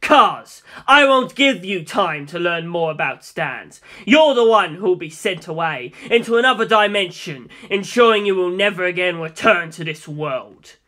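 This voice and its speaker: A man voicing a flamboyant, gloating immortal vampire villain with slow, loud, theatrical proclamations in a story reading. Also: high-pitched, lively intonation, full character voice. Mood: defiant.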